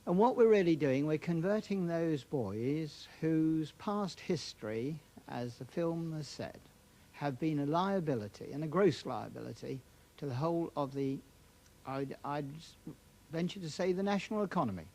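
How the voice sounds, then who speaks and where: A man's voice: fairly high pitch, animated, moderate pace, darkish tone, poor audio. A British councillor, a man of mature years, answering a political interview in an emphatic, formal, declamatory way. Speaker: councillor, studio guest.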